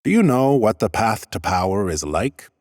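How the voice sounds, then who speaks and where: An actor playing a haughty, dry-witted scholarly lich in an audiobook, with a pompous, formal, deadpan manner. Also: male voice, fairly low pitch, normal speed, dry recording. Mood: imperious, probing. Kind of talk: scripted first-person audiobook narration and dialogue.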